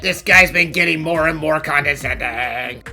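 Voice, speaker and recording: A woman voicing a grumpy, sarcastic sidekick in an animated mystery fan dub, with dry, snarky complaints. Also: deep voice, moderate pace, soft background music, exaggerated voice. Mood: irritated.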